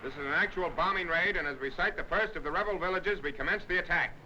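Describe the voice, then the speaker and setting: A man delivers dramatic 1930s newsreel commentary in a high, declamatory voice. The old soundtrack is noisy and dull, with a narrow, dated sound. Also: fast talker. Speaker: newsreel narrator.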